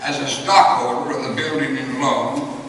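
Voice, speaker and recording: Man doing a cold sneering old banker, slow and contemptuous, stage radio play, echoey hall, mic far away. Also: medium pitch, fairly even intonation, fairly bright tone, slight background noise, lightly acted. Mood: mocking.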